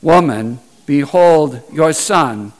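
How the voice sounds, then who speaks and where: A man playing a calm, resolute condemned holy man in a dramatized scripture reading, with solemn, deliberate delivery. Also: a little high, rough recording. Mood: solemn, tender.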